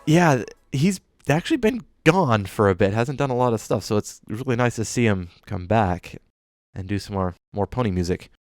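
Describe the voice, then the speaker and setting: A man's voice: fairly low pitch, lively intonation, moderate pace, dry recording, soft background music. A man co-hosting a podcast, presenting his picks in easygoing, approving casual chat and often repeating himself. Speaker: podcast co-host presenting fan music.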